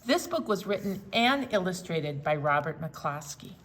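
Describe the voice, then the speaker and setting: A woman presenting a children's storytime, explaining things simply and in an animated way, with sing-along songs. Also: lowish voice, a little slow. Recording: roomy, distant mic, some background noise.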